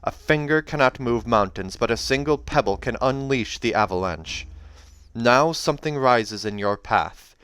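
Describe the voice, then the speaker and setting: A man's voice: medium pitch, expressive, moderate pace, fairly bright tone, clear, resonant tone, no room sound, lightly acted. Man acting a wild, haunted young seer woman. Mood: ominous, trance-like. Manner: trance-like, incantatory, dramatic. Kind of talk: audiobook character acting.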